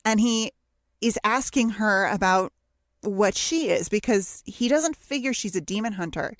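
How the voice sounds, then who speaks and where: A woman's voice: mid-range voice, a little slow, no room sound. Woman in casual podcast chat, dry sound. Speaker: podcast co-host.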